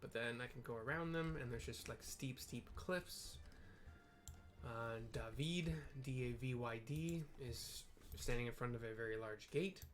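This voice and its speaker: A man giving casual, halting commentary as he plays through a video game and describes what is on screen. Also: mid-range voice. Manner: halting, informal. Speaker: gaming video host.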